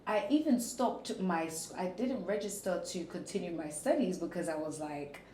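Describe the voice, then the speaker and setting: A woman's voice: fairly low pitch, normal speed. A young woman telling her story frankly as an interview guest, acting out what people said to her. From Ghanaian heritage, lives in Belgium. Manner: frank, animated, acts out quotes. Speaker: interview guest, former pageant winner. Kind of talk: candid conversational storytelling. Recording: echoey room with faint ambient noise.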